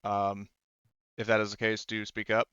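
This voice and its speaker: Rambling man, low clear voice, dull recording. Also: completely flat delivery, fairly bright tone, no echo. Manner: rambling, halting, self-interrupting. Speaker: game master. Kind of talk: casual tabletop game narration.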